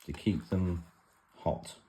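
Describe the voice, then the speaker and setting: A man's voice: low voice, steady tone, takes it slowly, mellow, a little hiss or hum. A man giving a casual home demonstration in a rambling, matter-of-fact way, like a vlogger in his kitchen.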